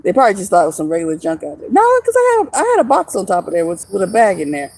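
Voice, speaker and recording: A woman's voice: fairly low pitch, huge pitch swings, very fast, darkish tone. A woman in her mid-thirties chatting casually on a livestream from outdoors in the woods, laughing often and teasing her viewers. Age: 30s. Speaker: vlogger.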